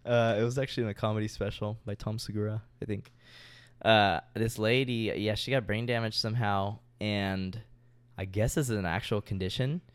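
Casual young man with a lower voice. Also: fairly even intonation, normal speed, clear, resonant tone, no echo. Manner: informal, swearing. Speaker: podcast co-host. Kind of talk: casual chat.

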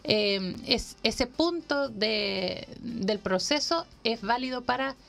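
A woman from Honduras with a fairly high voice speaks as an admissions coordinator in a radio studio. She explains things step by step, measured and patient, pausing on fillers and restarting her phrases. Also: lively intonation, creaky, a bit bright. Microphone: radio studio microphone.

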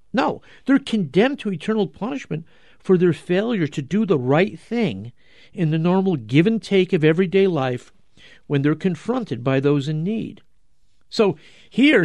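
A man preaching as a religious commentator, emphatic and rhetorical, with deliberate pauses and repetition for weight. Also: on the high side, a little mellow, no room sound, clean studio sound. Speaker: religious commentator and show host. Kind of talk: dramatic Gospel commentary, preaching to listeners.

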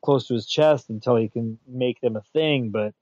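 A man's voice: medium pitch, moderate pace, darkish tone, no echo. A man hosting a casual pop-culture chat, rambling, restarting and repeating his words and chuckling as he goes. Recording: dull lo-fi dry sound.

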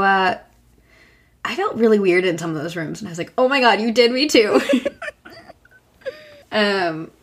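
A woman co-hosting a podcast in casual chat, hoarse from a long cough and talking with many fillers and restarts. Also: fairly low pitch. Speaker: podcast co-host.

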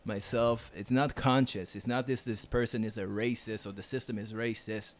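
A man's voice: fairly low pitch, fairly even intonation, moderate pace. A man from Israel, speaking earnestly as a podcast guest, repeating phrases for emphasis. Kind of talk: casual chat.

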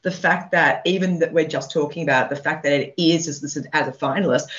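A woman's voice: low voice, brisk, a bit bright. Bubbly Australian woman co-host. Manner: bubbly, laughs often. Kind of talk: casual chat. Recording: muffled sound.

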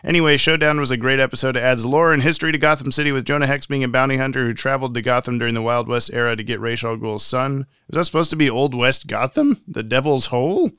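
A man with a clean, smooth, slightly high voice co-hosts a podcast in a very dry-sounding space. He reads listener messages aloud in a casual way and drops in joking asides. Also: fast talker, hardly any pauses, bright, forceful tone, no room sound. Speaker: podcast co-host.

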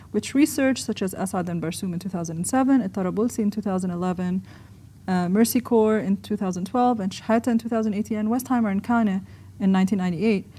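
A woman from Egypt reads her own academic essay aloud in a measured, articulate way. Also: medium pitch, dark tone, breathy edge. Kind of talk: scripted essay narration, read aloud.

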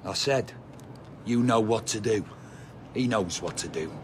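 An actor playing a gruff, impatient crook in a radio comedy. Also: male voice, on the low side, a little slow, a little hiss or hum, close to an everyday voice. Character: gruff, impatient London crook giving orders.

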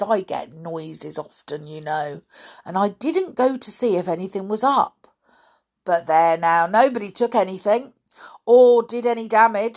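Woman acting a grumpy, loud old caretaker man. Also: medium pitch, voice pushed up. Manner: irritable, scolding, rustic turns of phrase.

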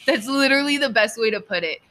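A woman's voice: fairly high pitch, expressive, fast talker, sharp tone. A young woman hosting a podcast in casual, opinionated chat, blunt and emphatic and prone to swearing. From Cuban background. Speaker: podcast host. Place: outdoors.

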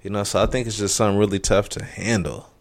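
A man in his thirties co-hosts a casual podcast. He has a very deep, low voice and talks at an easy pace. He is reflective and fills his talk with "you know". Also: steady tone, quick pace, gravelly. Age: 30s. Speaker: podcast co-host. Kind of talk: casual chat.

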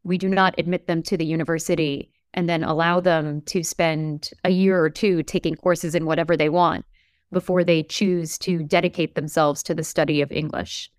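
A woman professor in her forties, raised in the United States, speaking in an articulate, measured way with emphasis in an interview. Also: low-pitched, steady tone, dry recording, studio quality. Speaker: English professor. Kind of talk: reflective interview talk.

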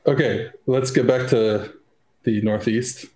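A man's voice: mid-range voice, fairly even intonation, slow, a little mellow, reverberant. A man hosting a podcast, rambling and cracking awkward jokes in casual chat. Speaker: podcast host.

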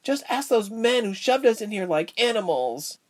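A man's voice: high-pitched, big pitch swings, moderate pace, pitched above the performer's own voice. A man voicing a tiny, timid young woman captive in an audiobook story. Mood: desperate.

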